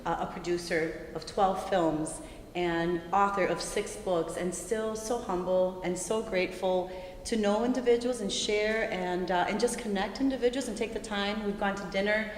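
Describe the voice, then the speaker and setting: A woman's voice: mid-range voice, normal speed. A woman co-hosting a talk show, speaking with enthusiastic, heartfelt admiration for her guest. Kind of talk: casual interview talk. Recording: echoey room with long reverb, faint noise.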